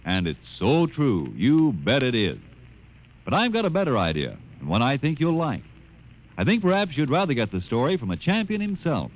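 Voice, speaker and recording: A man's voice: mid-range voice, wildly swooping pitch, moderate pace, rough recording. A man announces in an old-time radio ad, folksy and upbeat. His voice swings up and down strongly as he sells. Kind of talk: vintage radio ad read.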